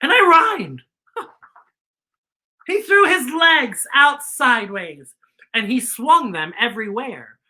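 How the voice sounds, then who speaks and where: A woman hosting children's story time, reading a picture book expressively and deliberately explaining it to young listeners. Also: fairly high pitch, pushed and bright.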